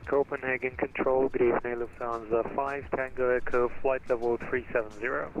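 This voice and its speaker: Male airline pilot, muffled radio audio, flat procedural delivery. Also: mid-range voice, brisk, a little hiss or hum. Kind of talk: clipped radio calls. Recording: slightly dull radio sound.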